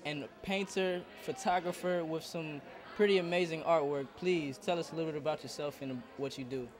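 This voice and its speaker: A young man interviewing artists at an outdoor art event, asking friendly, halting questions. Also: on the high side, steady tone. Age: young adult. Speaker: student interviewer. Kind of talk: casual on-the-spot interview. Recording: crowd chatter and music behind.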